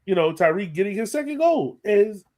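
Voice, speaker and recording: A man hosting a sports podcast, talking through a match in an animated, casual way and often checking his points with a quick "right?". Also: high-pitched. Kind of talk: casual match analysis chat.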